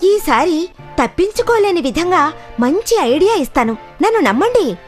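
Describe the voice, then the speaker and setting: A scheming crocodile wife from a kids' cartoon, pitched extremely high. The delivery is theatrical and insistent, with music playing behind it. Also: female voice, sing-song, normal speed. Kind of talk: children's cartoon voice acting.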